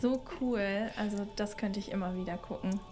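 A woman's voice: mid-range voice, moderate pace. A woman speaking casual, conversational dialogue in an audio play. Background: music.

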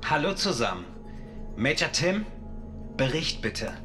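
An actor playing a confident, courteous starship captain hero in a theatrical radio-play manner. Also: male voice, fairly high pitch, big pitch swings, unhurried, music in the background. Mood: assured.

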